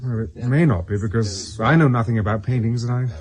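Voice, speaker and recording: An actor playing a polite, diffident English ex-army captain in a radio drama, British-accented and hesitant. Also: male voice, mid-range voice, fairly quick, darkish tone, natural voice.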